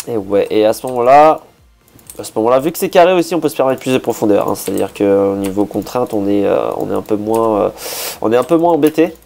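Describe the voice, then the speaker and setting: A man with a hoarse voice, a bit sick, casually talking through a building project, thinking aloud with many hesitations and restarts. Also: fairly low pitch, lively intonation, fast, a little mellow, faint music behind. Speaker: Minecraft builder.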